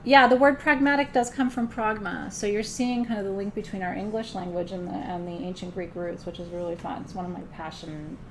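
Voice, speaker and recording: Chatty woman teacher with gravelly fry. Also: mid-range voice, steady tone, a little fast, few pauses, background noise. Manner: chatty, often checks in with the listener; loose, frequent fillers and restarts. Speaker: spiritual teacher leading a class. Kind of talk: conversational live class lecture.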